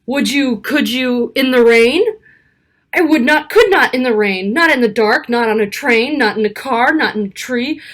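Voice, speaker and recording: A woman reading a rhyming children's picture book aloud in an expressive, rhythmic way. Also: fairly high pitch, normal speed. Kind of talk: animated children's story read-aloud.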